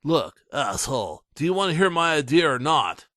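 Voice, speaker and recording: A man's voice: a little high, very animated, moderate pace, pushed and bright, no room sound, studio quality, pitched above the performer's own voice. A man voicing a twelve-year-old bully who jeers and taunts. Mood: irritated. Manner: jeering, taunting.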